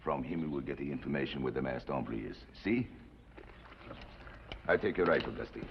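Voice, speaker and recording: Aging Mexican outlaw chief, deep and measured, authoritative, vintage western sound with hiss. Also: male voice. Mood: commanding. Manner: calm, deliberate, courteous with quiet menace. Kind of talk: 1950s television western acting. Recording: dull, noisy old TV western soundtrack.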